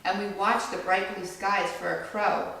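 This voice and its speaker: A woman reading a picture book aloud to children as a storyteller, with animated delivery that acts out the quoted lines. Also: medium pitch, normal speed, gravelly. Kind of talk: expressive read-aloud storytelling. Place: echoey indoor room with children listening. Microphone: far from the reader. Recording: distant mic, very echoey room, faint noise.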